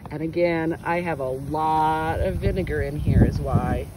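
A woman's voice: deep voice, unhurried. A woman teacher giving a hands-on demonstration to children and parents, exclaiming and coaxing with questions, recorded outdoors on a windy day. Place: outdoors in wind and sun.

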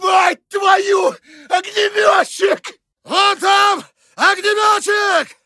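Tense russian ww1 soldier for a game, clipped warnings, really high raspy-edged shouting, dry sound. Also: male voice, fairly even intonation, extremely slow, no room sound, full character voice. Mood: urgent alarm. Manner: clipped urgent exclamations. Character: tense Russian First World War soldier warning comrades. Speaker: video game voice actor. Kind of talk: shouted battlefield callouts in a war game.

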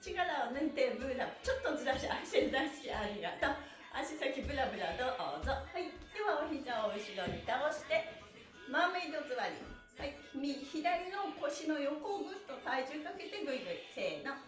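A woman's voice: high-pitched, sing-song, fairly quick, over music. A middle-aged woman leading a live-streamed stretch class, chanting counts cheerfully and chatting with viewers. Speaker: stretch instructor. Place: small indoor studio room. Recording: echoey room.

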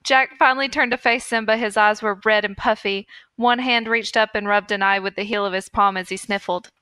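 A woman's voice: mid-range voice, fairly even intonation, a little fast, sharp tone, clear, resonant tone, no room sound. A woman podcast host reading fan fiction aloud in a mock-earnest storytelling manner.

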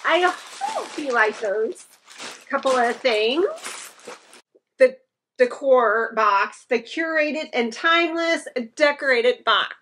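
A woman in her thirties talks to the camera from her living room while she unboxes home decor. Her voice is bright and a little high, and she takes her time, gushing over each piece. The sound comes from a camera set across the room. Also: slight background noise, low-quality recording. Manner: chatty and enthusiastic, gushing over items. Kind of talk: casual unboxing chat to camera.

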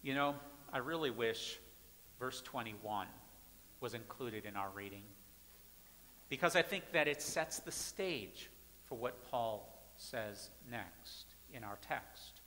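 A man in his thirties, a pastor, preaching at a pulpit in a large church sanctuary with emphatic, repeated phrases that swing between loud and soft. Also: medium pitch, animated, takes it slowly, a little hiss or hum. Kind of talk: sermon. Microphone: pulpit mic, far camera. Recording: church hall reverb.

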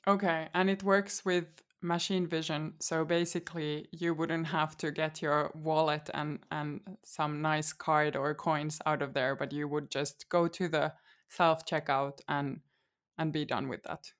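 A woman hosting an interview podcast, reading a scripted welcome and then asking questions in a measured way with some fillers. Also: low voice, level tone. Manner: measured, asks with fillers and restarts. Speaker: podcast host.

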